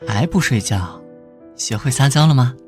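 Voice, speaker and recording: A man playing a young male cop in an animated drama, with an ordinary mid pitch that swings widely. He is a protective big brother, expressive, easily flustered and gently teasing. Faint music sits behind him. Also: normal speed, lightly acted. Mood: reassuring, teasing.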